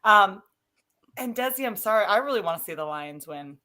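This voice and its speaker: Bright, hesitant woman guest. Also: medium pitch, expressive, moderate pace. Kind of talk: casual podcast chat.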